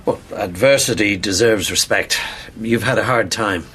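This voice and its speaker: An actor with an Irish accent playing a newspaper editor, a loyal but cautious friend, in a naturalistic radio play. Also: male voice, lowish voice, moderate pace, fairly bright tone, slight background noise, close to an everyday voice. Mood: concerned.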